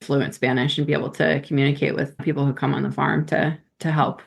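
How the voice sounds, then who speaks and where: A woman's voice: sits low, little pitch movement, brisk, vocal fry, husky. A woman guest on a podcast, chatting casually about farm life, laughing often and trailing off mid-sentence. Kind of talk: casual chat.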